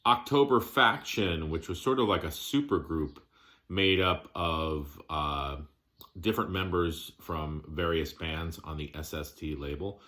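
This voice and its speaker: Very deep, bright-voiced man presenting casually. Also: a little slow. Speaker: music collector showing his collection. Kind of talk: casual show-and-tell vlog.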